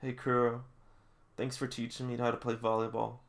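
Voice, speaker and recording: An earnest teenage boy athlete from a manga, read out by a man. The voice sits at a medium pitch, with plain, heartfelt phrasing and little theatrical colouring. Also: monotone, moderate pace, natural voice. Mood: earnest, wistful.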